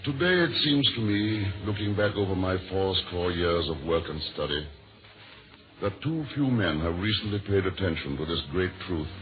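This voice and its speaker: A man delivering a formal, scripted 1940s radio address in solemn, deliberate oratory. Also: deep voice, lively intonation. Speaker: speaker reading a signed statement. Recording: tinny vintage 1940s radio, faint music.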